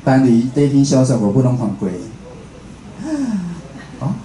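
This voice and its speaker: A man's voice: medium pitch, normal speed, mellow. Taiwanese man lecturing in an echoey room. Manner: conversational, repeats phrases, puts questions to listeners. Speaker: radio host and station head giving a talk. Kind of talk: informal public lecture. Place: indoor room, speaking to an audience. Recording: roomy echo, faint background noise.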